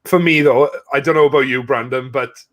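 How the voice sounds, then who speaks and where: A man's voice: medium pitch, fairly even intonation, a little fast, a bit bright, poor audio. Chatty man hosting casual sports talk. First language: not French. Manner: chatty, matey address, asks questions. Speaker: host of a live rugby watch-along. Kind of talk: casual sports chat with a guest.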